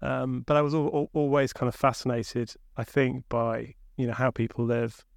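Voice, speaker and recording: A man in his fifties with a broad Sheffield accent talks through his career in a relaxed, reflective way, often pausing on fillers. His voice is gravelly and fairly flat in pitch, recorded dry and close. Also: no echo. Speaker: guest, company chief executive. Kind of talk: conversational interview.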